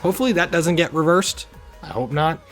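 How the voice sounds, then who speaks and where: A man's voice: a little high, fairly quick, a bit bright. A man hosting a video review, delivering scripted commentary in an informal, opinionated way. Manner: informal, opinionated, occasional swearing. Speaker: host of a video review channel. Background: music under the voice.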